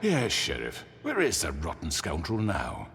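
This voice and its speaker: An actor playing a smug, calculating crime boss in a video game, courteous and menacing, with formal, condescending, deliberate speech. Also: male voice, low-pitched, huge pitch swings, gravelly, a bit bright, exaggerated voice. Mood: indignant, composed.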